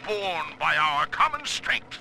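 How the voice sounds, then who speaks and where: A fanatical preacher from a video game, chanting apocalyptic scripture with grand conviction. The voice is high and gravelly, incantatory and theatrical, over faint background noise. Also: male voice, big pitch swings, slow, bright, forceful tone, exaggerated voice. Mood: fervent prayer. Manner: incantatory, grandiose, rising to cries. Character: fervent cult preacher, zealous and apocalyptic. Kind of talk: theatrical sermon.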